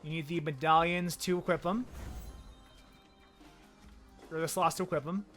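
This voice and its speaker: Bright-voiced man casually commenting on a game. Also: fairly high pitch, moderate pace. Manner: relaxed, wry, thinking aloud. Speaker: streamer. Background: game music.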